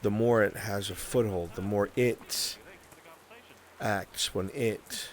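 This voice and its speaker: A man playing a grave mage mentor in a fantasy roleplay, speaking in solemn, measured, weighty phrases. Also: lowish voice, fairly even intonation. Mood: grave warning. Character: grave male mage mentor warning of magic's dangers. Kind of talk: improvised fantasy roleplay acting. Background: rain.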